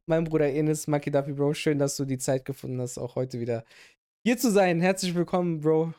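A man's voice: a little high, fairly quick, mellow, smooth. A man hosting a podcast in casual chat, joking and laughing often, with many fillers and restarts. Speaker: podcast host.

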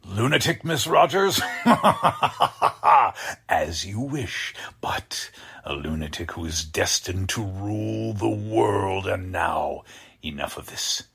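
Creaky, deep-voiced mad scientist. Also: male voice, lively intonation, pushed and bright, exaggerated voice. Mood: menacing, gloating. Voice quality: husky, creaky low voice. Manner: slow, deliberate, menacingly formal. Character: deranged, coldly polite male mad scientist, megalomaniac. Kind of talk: 1940s radio drama acting.

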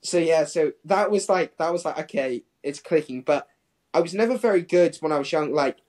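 A man's voice: on the high side, normal speed, darkish tone. A man in his twenties who grew up in Hong Kong and England, talking casually as an interview guest in a rambling way peppered with "like". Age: 20s. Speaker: professional distance runner, interview guest.

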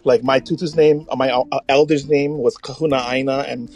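A middle-aged man from Hawaii, chatting casually as a podcast host in hesitant, halting phrases. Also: mid-range voice, fairly even intonation, a little mellow. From Hawaii, United States.

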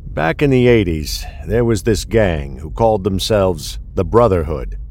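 A man acting a world-weary veteran cop in a crime audio drama, low-voiced and curt. He is an older detective, gruff and blunt, close to retirement. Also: lively intonation, unhurried, darkish tone, slight background noise. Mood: grim recounting. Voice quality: low-pitched.

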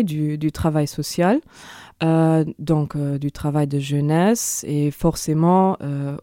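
A woman hosting a podcast in a studio, talking hesitantly with many filler pauses. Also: low voice, warm tone, no echo. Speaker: host, social worker and youth educator.

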